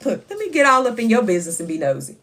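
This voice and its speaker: A woman, hosting a casual live chat with a loud voice that carries and reading viewer comments aloud. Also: on the high side, sing-song, very fast. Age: 50s. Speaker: livestream host.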